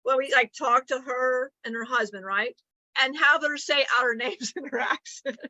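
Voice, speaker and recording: A woman's voice: sits high, normal speed, bright, forceful tone, dry recording. A woman co-host speaking as a channeled being, rambling with frequent restarts in casual chat. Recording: dull lo-fi mic.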